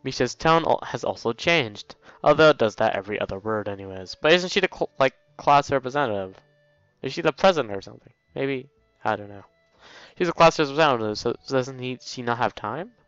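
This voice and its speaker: A man's voice: mid-range voice, lively intonation, a little fast, a bit bright, clean tone, no room sound, lo-fi sound, faint music behind. A man reading a visual novel aloud casually, dropping in jokey commentary as a let's play host. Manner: jokey asides while reading.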